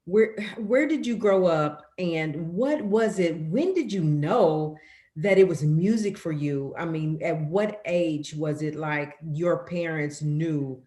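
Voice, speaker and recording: A woman hosts an interview, asking open questions and nudging the guest along with quick replies. Her voice sits low. The recording has an echoey room sound. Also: dark tone. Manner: brief encouraging prompts. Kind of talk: casual interview.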